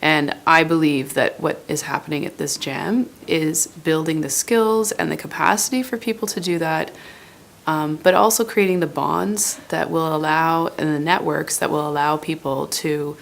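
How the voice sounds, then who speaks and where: A woman's voice: sits low, moderate pace, creaky, a little hiss or hum. A woman speaking earnestly and firmly in an interview.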